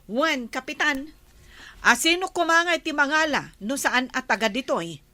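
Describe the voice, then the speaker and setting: A woman's voice: sits high, lively intonation, moderate pace, pushed and bright, no room sound. A woman with a Filipino Ilocano accent playing a forthright, indignant warehouse owner in a comedy drama. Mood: indignant, accusing.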